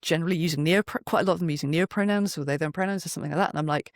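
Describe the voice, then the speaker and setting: A mid-pitched androgynous voice telling anecdotes casually as an interview guest, acting out remembered remarks. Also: racing, no room sound, clean studio sound. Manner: animated storytelling, acts out remembered remarks. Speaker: guest, voice actor and narrator. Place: home recording booth.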